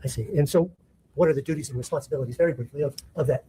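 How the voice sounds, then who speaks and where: A man examining a witness in a courtroom, speaking haltingly with many pauses and rephrasings. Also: on the high side, mellow, a little hiss or hum. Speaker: trial attorney.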